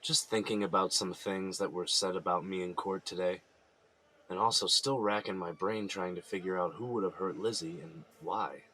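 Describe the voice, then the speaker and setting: A man's voice: sits low, fairly even intonation, moderate pace, fairly bright tone, rough recording. An American man playing an earnest, troubled prisoner who insists on his innocence, speaking plainly in an audio drama. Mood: troubled, puzzled. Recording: noticeable background ambient noise.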